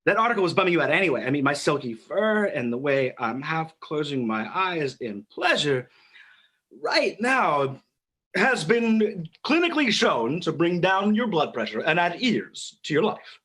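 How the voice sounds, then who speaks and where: A man's voice: on the high side, very animated, normal speed, fairly bright tone, husky, heavily acted. A man voicing a needy, wheedling pet dog in a comic radio ad, with coaxing salesman patter.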